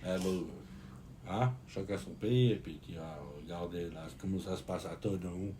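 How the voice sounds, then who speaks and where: Rambling man, lowish voice. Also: crowd noise. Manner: joking, rambling, halting with many fillers. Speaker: co-host. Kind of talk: casual live-stream chat.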